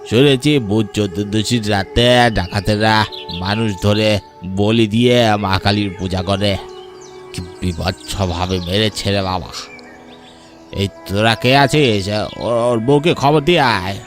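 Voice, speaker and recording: A man's voice: lowish voice, steady tone, faint music behind. A man voicing a shocked, pitying villager in a cartoon, lamenting as he talks. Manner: slow, lamenting. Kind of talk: Bengali cartoon voice acting.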